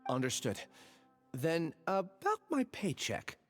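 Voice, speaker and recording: An actor playing a weary, earnest, apologetic young scholar who has lost his memory, speaking haltingly in a video game. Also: a little high, huge pitch swings, soft background music, heavily acted.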